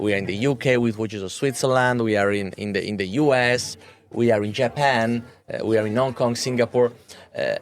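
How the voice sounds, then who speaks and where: A man of thirty answering interview questions in a halting way, often repeating words. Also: medium pitch. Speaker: watch brand executive being interviewed.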